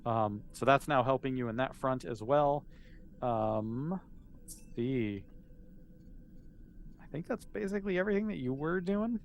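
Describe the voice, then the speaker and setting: Casual male game master, mid-pitched, conversational and explanatory, improvising tabletop narration over faint noise. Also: a little slow, pauses often.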